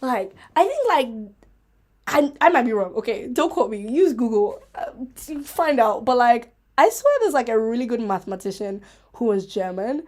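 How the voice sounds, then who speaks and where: A woman hosting a casual, rambling livestream chat, playful, giggly and self-mocking, with an animated, emphatic way of talking. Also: on the high side, normal speed. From Africa. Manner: animated, emphatic, repeating herself for effect. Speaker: host of a chat vlog.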